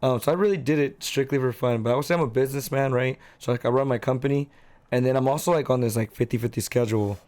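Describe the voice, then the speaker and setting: A man's voice: mid-range voice, quick pace, darkish tone. A man in his twenties talking as a guest in a casual podcast chat, laid-back and slangy, saying "bro" and "like" a lot. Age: 20s.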